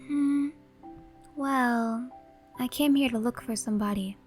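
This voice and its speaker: Ageless female shaman for audio drama, played by a woman: high voice, teasing, worldly, theatrical, over music. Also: unhurried, natural voice. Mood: mysterious, reflective. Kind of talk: horror-comedy audio drama acting.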